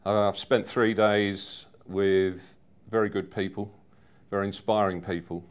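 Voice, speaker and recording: A man in his fifties giving a personal testimonial in a measured, earnest way. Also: lowish voice, flat delivery, clean tone. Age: 50s. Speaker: seminar attendee giving a testimonial.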